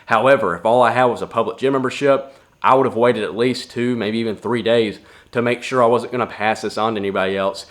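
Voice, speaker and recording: A man's voice: mid-range voice, fast talker. A young man giving casual fitness advice to camera in a plainspoken, earnest way from his home gym. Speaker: fitness vlogger.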